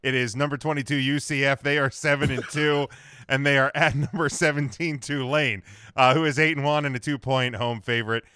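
A man's voice: mid-range voice, fairly even intonation, a little fast, bright, forceful tone, smooth, no room sound, clean studio sound. A man hosting a casual sports picks show, chatting and moving the discussion along.

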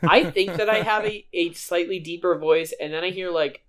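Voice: mid-range voice, normal speed. A podcast co-host in casual chat, laughing often and gushing with enthusiasm.

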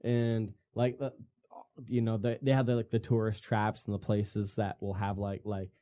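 Young man hosting, halting and filler-heavy. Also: lowish voice, level tone, mellow, muffled recording. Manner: halting, many "like" fillers and restarts. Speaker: podcast co-host. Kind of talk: casual podcast chat.

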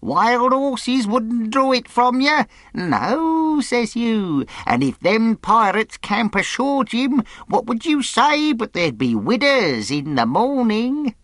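A man's voice: extremely high pitch, no echo, voice pushed up, exaggerated voice. A man reading aloud, voicing a wild, half-mad old castaway who is eager and cunning and talks in rustic sailor dialect. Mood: anxious. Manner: rustic sailor dialect, pleading, drawn-out, excitable.

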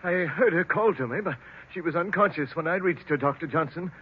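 An actor playing a formal, deferential butler, anxiously explaining himself in an old-time radio drama. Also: male voice, fairly high pitch, brisk, close to an everyday voice. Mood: concerned. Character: proper, deferential household butler. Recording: muffled, noisy old radio recording.

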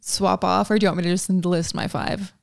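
A woman's voice: on the low side, level tone, a little fast, gravelly, no room sound, clean studio sound. A young woman from Georgia with no country accent, chatting casually on a podcast with lots of ums and likes. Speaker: podcast guest. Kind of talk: casual chat.

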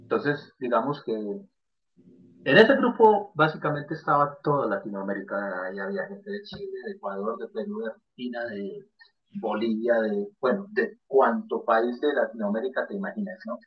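A man's voice: mid-range voice, expressive, normal speed. Colombian man, muffled lo-fi sound. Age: late 30s. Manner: chatty, emphatic, many discourse tags. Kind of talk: casual chat. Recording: muffled lo-fi audio.

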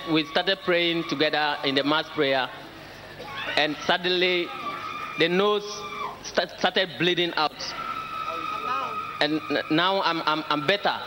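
Halting Ghanaian man with a highish voice. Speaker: congregant giving testimony. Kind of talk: halting spoken testimony. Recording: noisy outdoor crowd. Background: crowd noise.

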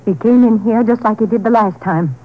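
An actress playing an anxious, stubborn and brooding wife in a 1950s radio melodrama. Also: female voice, mid-range voice, brisk, natural voice. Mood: insistent, fearful. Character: high-strung, fearful, insistent wife. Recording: tinny, narrow 1950s radio recording.

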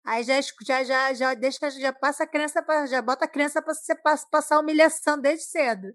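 A Brazilian woman with a high voice talks casually in a very dry-sounding recording. She is chatty and likes to tell things by example. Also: brisk, fairly bright tone, no room sound. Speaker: talk participant. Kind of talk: casual chat.

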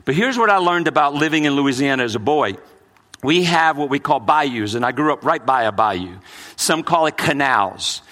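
A man's voice: medium pitch, animated, normal speed, fairly bright tone. A middle-aged man pastor with a mixed New Orleans and Brooklyn accent, preaching earnestly with frequent repeats and restarts. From United States. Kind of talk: sermon.

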